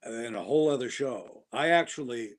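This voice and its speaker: A man's voice: mid-range voice, lively intonation, normal speed. A man talking as a guest in a casual podcast chat, rambling and enthusiastic, with many fillers and restarts. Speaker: guest actor.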